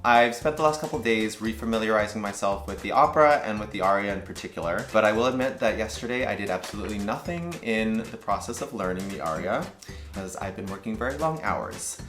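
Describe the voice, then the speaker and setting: A man's voice: on the low side, quick pace, fairly bright tone, echoey room, faint music behind. A man, an opera singer, explaining his learning process to camera in an earnest, explanatory vlog style.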